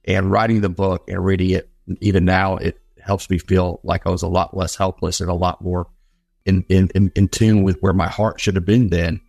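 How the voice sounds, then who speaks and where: A man's voice: sits low, flat delivery, moderate pace, vocal fry, darkish tone, dry recording, clean studio sound. A man giving earnest, reflective answers as a guest in a conversational interview. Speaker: guest, songwriter and author.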